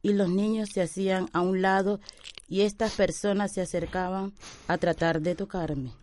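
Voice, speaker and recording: A woman's voice: mid-range voice, steady tone, moderate pace, a little mellow, slight background noise. A woman giving interview testimony about her everyday life. She speaks reflectively and circles back on the same phrases.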